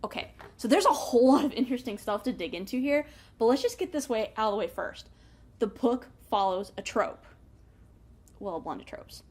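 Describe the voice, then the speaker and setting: Female book review host, somewhat high voice, casual and chatty, wry asides, animated emphasis. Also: brisk.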